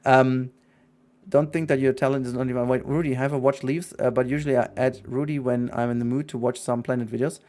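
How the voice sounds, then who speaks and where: A man's voice: medium pitch, steady tone, fairly quick, darkish tone. A young man who is not a native English speaker, talking earnestly and rambling in a casual livestream chat, with many restarts. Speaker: streamer.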